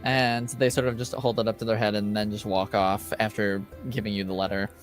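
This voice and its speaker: A man acting as game master, narrating casually and haltingly as he improvises and thinks aloud. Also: on the low side, little pitch movement. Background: music.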